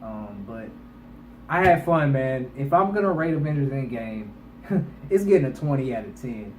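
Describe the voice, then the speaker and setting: A man's voice: mid-range voice, sing-song, normal speed. A man giving a casual, emphatic movie review to online viewers, speaking colloquially and repeating himself to drive points home. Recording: echoey room with faint background noise.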